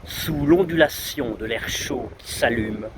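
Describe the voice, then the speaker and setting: Wind buffets the microphone and the sea rumbles around a man reciting verse. His delivery is slow and solemn, with a declamatory weight and long dramatic pauses between phrases. His voice sits at an ordinary middle pitch for a man. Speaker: poetry reciter. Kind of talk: outdoor recitation of a 19th-century poem. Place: outdoors under trees on a windy seashore. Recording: loud wind buffeting the mic, roaring sea.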